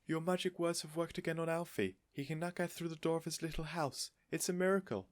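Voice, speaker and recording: A man voicing an excitable, adoring lady neighbour in a storybook, with a shrill, effusive, exclamatory manner. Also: a little fast, no room sound, natural voice. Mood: thrilled. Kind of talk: storybook character voice.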